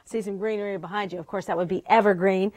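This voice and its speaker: A woman's voice: mid-range voice, steady tone, quick pace, a little mellow. A woman anchoring a newscast from the studio, friendly and easygoing as she chats with the field reporter. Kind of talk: live newscast banter.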